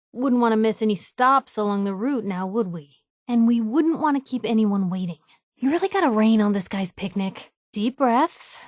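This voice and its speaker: A woman's voice: fairly high pitch, dry recording, clean studio sound, close to an everyday voice. An actress playing a wry, plucky young woman reporter, an outspoken and loyal video game companion with a sardonic, conversational delivery.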